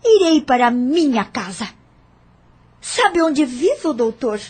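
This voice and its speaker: A woman's voice: sits high, sing-song, slow pace, darkish tone, exaggerated voice. An actress playing a bitter, vengeful woman who threatens and taunts a former lover, in an audio drama. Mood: taunting. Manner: threatening, spiteful, scornful.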